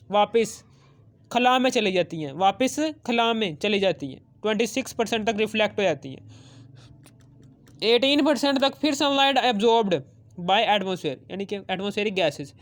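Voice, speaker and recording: A man's voice: high voice, a bit bright. A man teaching a science lesson, repeating phrases and asking questions that he answers himself. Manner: repetitive, asks questions and answers them, adds check-in tags. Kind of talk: explanatory school lesson, reading English textbook phrases and explaining them.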